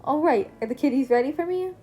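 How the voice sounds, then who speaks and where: A woman voicing a self-assured young male vigilante turned hero, talking breezily, with a mellow voice. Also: sits high, expressive, fast, soft background music, voice pushed up. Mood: breezy.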